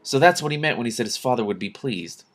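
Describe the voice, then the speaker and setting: A man's voice: mid-range voice, lively intonation, quick pace, fairly bright tone, natural voice. A man voicing a storybook king who is questioning, curious and mildly incredulous. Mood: surprised. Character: young male king, wary, curious, easily startled.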